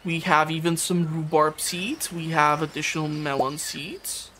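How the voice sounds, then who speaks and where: A woman's voice: low-pitched, little pitch movement, unhurried, a bit bright, a little hiss or hum. A woman casually commenting on her farming game, rambling and tripping over words with joking asides. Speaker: gameplay commentator. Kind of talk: casual let's-play commentary. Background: game soundtrack.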